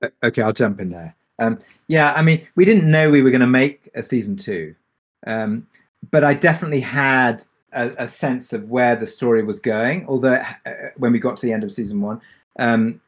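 A man's voice: medium pitch, normal speed. A man speaking as a show creator on a panel, casual and reflective, often restarting his phrases.

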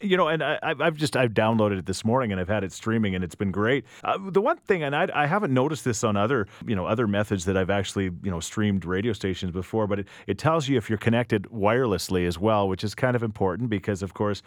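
A man's voice: on the low side, animated, fast talker, hardly any pauses, no echo, clean studio sound. A man in his forties with a Canadian accent, chatting in a casual, rambling way as a radio interview host. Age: 40s. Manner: chatty, rambling, repeats words as he gathers his thoughts. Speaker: radio morning show host.

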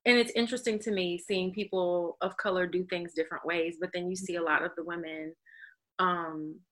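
A woman in casual conversation, animated and playful, talking in quick bursts. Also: on the low side.

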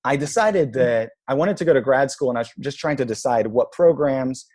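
Relaxed man telling his story. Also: medium pitch, brisk. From Israel. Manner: relaxed, reflective, frequent "like" and "you know". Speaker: guest. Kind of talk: casual storytelling interview.

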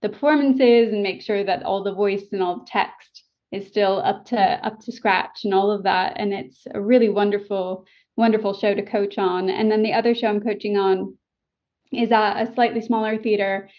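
A woman's voice: mid-range voice, fairly even intonation, moderate pace, lo-fi sound. An American woman who works as a voice and dialect coach, with a slight English tinge to her accent, speaking in an articulate, reflective and enthusiastic way in an interview. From United States. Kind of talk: interview over a call.

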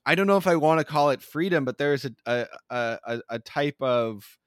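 A man's voice: fairly high pitch, lively intonation, a little fast, clean tone, no echo, clean studio sound. A man co-hosting a casual discussion who searches for words and often repeats himself.

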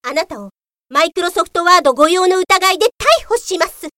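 A woman playing an indignant, sharp-tongued policewoman in a comic audio drama, given to exasperated retorts. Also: very high-pitched, animated, brisk, no echo, exaggerated voice. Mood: official, firm. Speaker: voice actor.

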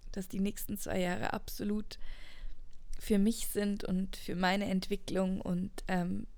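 A woman in her late twenties talks through her thoughts as if in a personal audio diary. Her pitch is average and her pace is unhurried. She sounds earnest and introspective, repeating words to stress them as she goes. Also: flat delivery, a little mellow. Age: late 20s, turning 30. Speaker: podcast host. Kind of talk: reflective personal monologue, unscripted.

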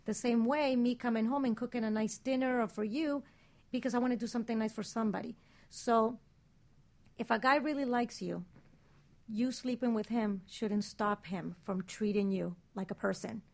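A woman's voice: fairly high pitch, darkish tone. A woman on a radio panel arguing her opinion in casual, insistent, emphatic conversation.

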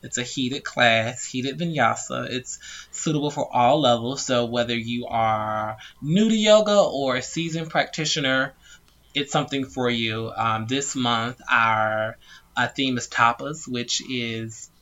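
An upbeat, chatty man co-hosting a podcast, his voice bright and punchy. The audio is slightly dull and muffled. He talks at a middle pitch. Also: unhurried. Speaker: podcast co-host, yoga teacher. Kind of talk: casual podcast chat.

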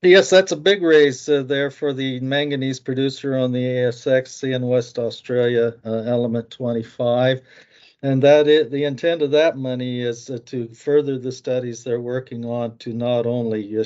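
A man with an American accent giving market commentary, halting often with fillers. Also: medium pitch, little pitch movement, darkish tone, muffled recording.

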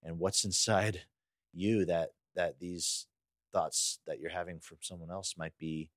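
Male American co-host, low-pitched, informal and thoughtful, recorded dry. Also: steady tone, unhurried, dry recording. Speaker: podcast co-host. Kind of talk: casual podcast conversation.

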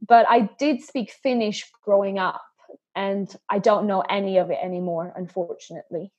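A woman's voice: medium pitch, steady tone, normal speed, a little mellow, muffled recording. A woman guest in a podcast interview, talking earnestly and often repeating words as she starts a thought. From Sweden and England. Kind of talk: conversational interview.

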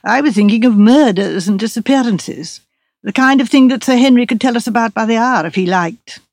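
A woman's voice: a little high, a little fast, a little mellow, dry recording, clean studio sound, voice pushed up. A woman playing a self-important young male writer in an audiobook, earnest, debonair and patronising. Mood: amused, superior.

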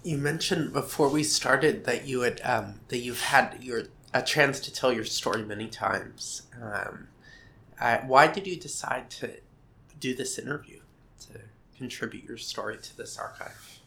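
Bright, gravelly-voiced male interviewer. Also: mid-range voice, measured pace. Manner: gentle, hesitant questioning with fillers. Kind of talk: oral history interview.

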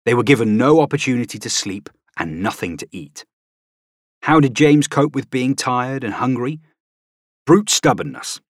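A man's voice: mid-range voice, lively intonation, moderate pace, no room sound. Guy narrating a nonfiction audiobook, emphatic and really varied intonation, super dry close sound.

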